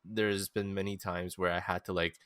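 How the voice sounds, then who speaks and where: A young man hosting a casual podcast chat, thoughtful and curious. His voice sits very low but carries a bright, forceful tone, in a dry, close recording. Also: little pitch movement, normal speed, clean tone, no room sound. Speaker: podcast host.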